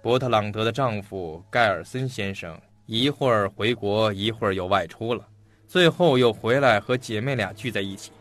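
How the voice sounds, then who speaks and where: An actor playing a shrewd, talkative, self-assured detective in an audio mystery drama, laying out a case in a theatrical storytelling manner. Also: male voice, on the low side, normal speed, fairly bright tone, dry recording, faint music behind, close to an everyday voice.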